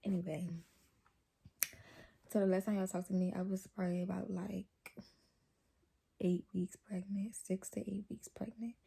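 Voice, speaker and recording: A young woman vlogger with a naturally low voice, chatting casually to a camera held close to her face, talking softly and rambling with lots of fillers. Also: level tone, normal speed, creaky, pauses often, breathy edge. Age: young adult.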